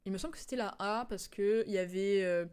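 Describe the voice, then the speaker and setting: A woman streamer talks through a game's story in a loose, chatty way. She has a medium-pitched voice and drifts off into asides, casual and giggly. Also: fast talker, a little mellow, smooth. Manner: chatty, digressive, giggly, acts out quotes in slang.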